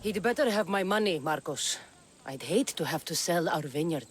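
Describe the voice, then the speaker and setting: A woman's voice: on the low side, animated, moderate pace, a little hiss or hum, natural voice. A woman playing a tough young mercenary in a video game cutscene, dry, sardonic and demanding. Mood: dryly threatening.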